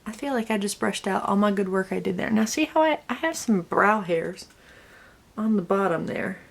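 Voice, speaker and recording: Young female beauty vlogger, slight Southern accent, snarky and chatty, gravelly and husky. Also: mid-range voice, expressive, moderate pace. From Connecticut and the US South. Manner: snarky, chatty, muttering asides. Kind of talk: casual get-ready-with-me chat.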